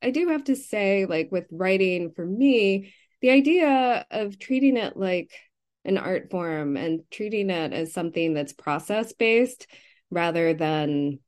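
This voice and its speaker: A woman talking casually as a novelist being interviewed, haltingly thinking aloud and often restarting her sentences. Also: medium pitch, lively intonation, a little mellow.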